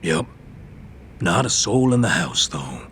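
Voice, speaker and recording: Yakuza thug: deep, gruff, defiant, swings up when riled, drawls out his sneers, game dialogue. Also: sing-song, takes it slowly, fairly bright tone, a little hiss or hum, full character voice. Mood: grudging, cagey. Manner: gruff, belligerent, sneering, profane. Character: hot-tempered foul-mouthed male yakuza gangster, defiant, cagey. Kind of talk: English video game dub acting.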